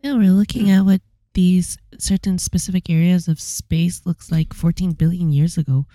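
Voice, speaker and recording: A woman's voice: fairly low pitch, fairly even intonation, moderate pace, dark tone, no echo. A young woman co-hosting a podcast in laid-back casual chat, her voice worn and losing out. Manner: laid-back, enthusiastic with swearing. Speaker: podcast co-host.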